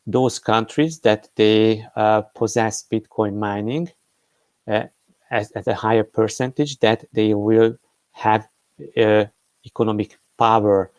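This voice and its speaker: Hesitant man, low smooth voice. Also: fairly even intonation, slow pace, darkish tone, no room sound. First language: Hungarian. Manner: hesitant, frequent "uh" fillers and restarts. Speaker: crypto news host. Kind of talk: unscripted commentary on news.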